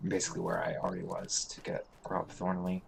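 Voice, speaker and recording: A man hosting a let's play, talking casually through a game with a hoarse, congested voice and many fillers. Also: low voice, a little hiss or hum. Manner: relaxed, halting, thinking aloud with many fillers. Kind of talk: casual gameplay commentary.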